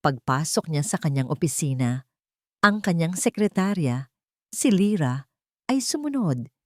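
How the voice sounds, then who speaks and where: A woman's voice: sits low, sing-song, a little slow, dry recording, studio quality. A woman with a Filipino accent reading a dramatic story as an audiobook narrator.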